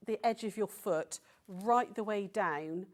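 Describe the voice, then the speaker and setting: A woman with a croaky voice from a cold, demonstrating quilting step by step on a live show. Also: medium pitch, slow. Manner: patient step-by-step instruction with frequent "okay?" checks. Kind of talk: sewing demonstration. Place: TV studio.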